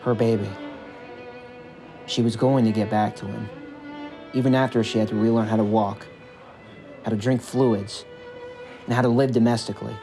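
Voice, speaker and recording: A man's voice: fairly low pitch, steady tone, moderate pace, long pauses. A man reading a scripted story as its narrator, with music behind his voice.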